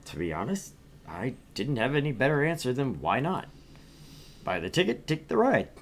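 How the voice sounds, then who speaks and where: Man reading as lively, bemused young job seeker. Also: mid-range voice, moderate pace, pitched above the performer's own voice. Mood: reflective. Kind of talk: reading visual novel narration and dialogue.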